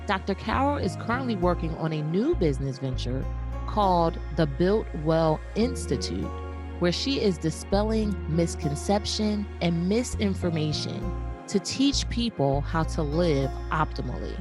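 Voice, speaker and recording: A woman's voice: lowish voice, animated, a little slow. Woman podcast host with music behind her. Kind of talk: scripted introduction and interview hosting.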